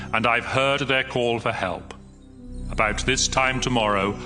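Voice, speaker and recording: A bright, forceful male voice of God, played by an actor in a scripture audio drama. He is solemn and sovereign, declaring his will with compassion, over faint music. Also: medium pitch.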